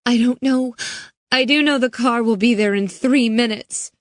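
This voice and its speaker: A terrified young girl, her voice pitched high and cracking with panic as she fights back tears, acted by a woman reading aloud. It is a dry, close recording with no room sound. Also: normal speed, no room sound, lightly acted. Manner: tearful, panicky, breaking voice. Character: frightened young girl, kidnapped and crying.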